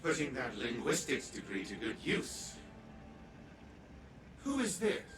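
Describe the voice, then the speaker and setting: A man's voice: medium pitch, sing-song, slow pace, background noise. A man voicing an arrogant elderly scientist turned AI in a video game, condescending, theatrical and pompous. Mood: smug, mocking. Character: arrogant old grandfather scientist, now an AI. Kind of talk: scripted video game acting.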